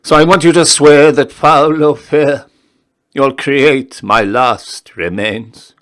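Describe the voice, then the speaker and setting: A man's voice: mid-range voice, steady tone, slow pace, fairly bright tone, pitched above the performer's own voice. A man voicing a freezing, dying gold prospector who pleads and moans insistently in a recited story poem. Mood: dread, insistent pleading.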